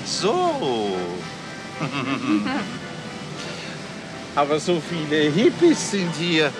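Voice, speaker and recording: A man's voice: fairly high pitch, huge pitch swings, very slow, over loud music, heavily acted. A man acting a courteous, genteel pickpocket with a suave manner in a 1970s radio play. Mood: charming. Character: genteel, smooth gentleman pickpocket nicknamed Baron.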